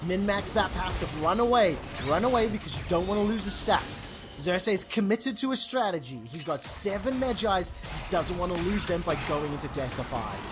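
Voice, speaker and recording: A man calling live esports play-by-play with quick, excited bursts. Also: high voice. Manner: excitable, fast bursts, exclamations. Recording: commentary over loud game music.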